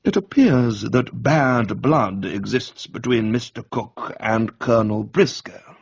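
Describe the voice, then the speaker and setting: An erudite, deferential valet voiced by a man in a radio comedy. He is measured, formal and precise, with a husky, creaky voice in a slightly dull recording. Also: medium pitch, no echo. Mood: calmly explanatory.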